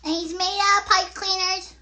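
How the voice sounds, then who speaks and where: A woman voicing an excitable, easily distracted little girl puppet who hosts a children's show, chattering haltingly and interrupting herself. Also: very high voice, full character voice. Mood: chatty, explaining. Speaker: puppet host.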